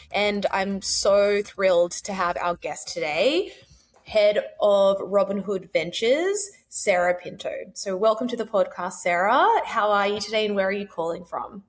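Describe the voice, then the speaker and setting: A woman, hosting a podcast interview in an upbeat, enthusiastic way. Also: medium pitch. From Australia. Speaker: podcast host.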